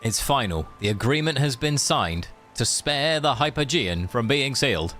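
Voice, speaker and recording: A man streaming a game, reading the story aloud and breaking off for sarcastic jokes. His voice sits at a middling pitch, with faint music underneath. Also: lively intonation, moderate pace, fairly bright tone. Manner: sarcastic, joking asides.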